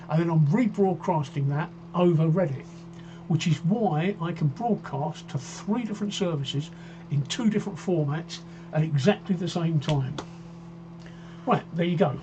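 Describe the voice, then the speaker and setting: A man's voice: fairly high pitch, dark tone, dull audio, slight background noise. A man in his sixties hosting a casual live stream, chatting in a rambling way and often repeating himself while he reads out viewer comments. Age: 60s. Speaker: livestream host. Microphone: desk microphone.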